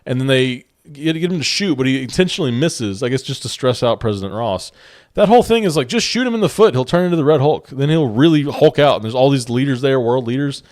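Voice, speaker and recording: Fast-talking man, rambling chat. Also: mid-range voice, animated, darkish tone. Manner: rambling, many fillers and restarts. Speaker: podcast co-host. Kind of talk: casual chat.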